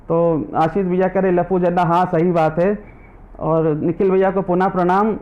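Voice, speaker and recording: Man, high-pitched, live online poetry reading, repeats each line, chants devotional verses. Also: level tone, moderate pace, lo-fi sound. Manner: greets viewers by name between poems. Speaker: poet; government teacher.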